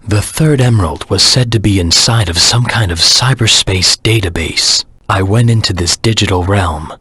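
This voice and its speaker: Brooding video game antihero, very husky growl, hard-edged and forceful, terse, grim, menacing, vengeful. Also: male voice, low-pitched, no echo. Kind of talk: video game voice acting, dramatic monologue.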